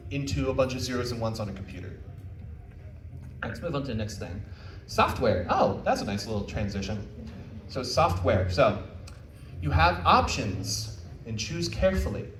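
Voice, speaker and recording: A man presents at a convention panel in an informal, encouraging manner. He is modest about himself and casual in his phrasing. The recording is echoey and slightly distant, with faint noise behind him. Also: medium pitch. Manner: casual, self-deprecating, encouraging. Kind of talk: informal technical presentation with audience questions. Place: indoor convention panel room.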